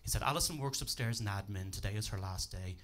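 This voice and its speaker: A man's voice: fairly low pitch, fast talker, slight background noise. A young man with a Dublin accent doing a stand-up set on a comedy club stage, talking dry and self-deprecating into a handheld mic. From Ireland. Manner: dry, deadpan delivery with self-deprecating asides.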